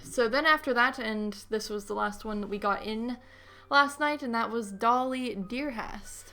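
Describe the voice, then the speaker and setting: A woman's voice: a little high, unhurried, bright, forceful tone. A woman co-hosting a movie podcast, chatting casually and informally with somewhat hesitant phrasing.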